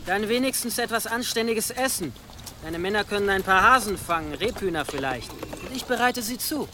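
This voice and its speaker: Casting: courteous medieval servant, light high voice, gently persuasive, radio drama with outdoor noise. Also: male voice, animated, normal speed. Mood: mild, placating. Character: earnest, polite young monk and servant. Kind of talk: audio drama acting. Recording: outdoor ambience noise behind.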